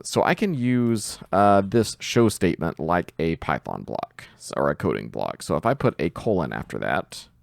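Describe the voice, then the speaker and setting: Low-voiced man with a creaky edge. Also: dry recording, studio quality. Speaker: tutorial host, coding instructor. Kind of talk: informal screen-share software tutorial.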